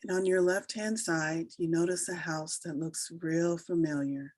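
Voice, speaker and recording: A woman with a low voice guides a meditation in a soothing, unhurried manner. She leaves long pauses between her gentle instructions. The sound is dry, with very little room around the voice. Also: steady tone, no echo. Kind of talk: slow guided meditation narration.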